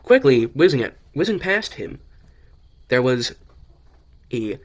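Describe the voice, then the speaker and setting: A man reading a scripted story as narrator, haltingly, often stumbling and rereading phrases. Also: medium pitch, very animated. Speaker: narrator of an amateur audio drama.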